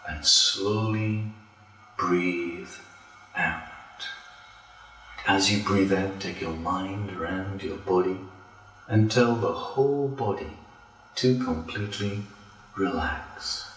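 Guy doing guided yoga relaxation, really slow and deliberate, echoey room, kinda far from the mic. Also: sits low, a bit bright, a little hiss or hum. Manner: slow, deliberate, pausing between phrases. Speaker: yoga instructor. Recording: roomy echo, distant from mic.

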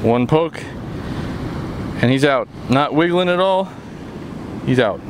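A man's voice: medium pitch, expressive, takes it slowly. A man in his thirties chatting casually and informally as a fishing vlogger, with slangy exclamations. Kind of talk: casual outdoor vlog chat. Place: outdoors on rocky seashore. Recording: outdoor ocean surf noise.

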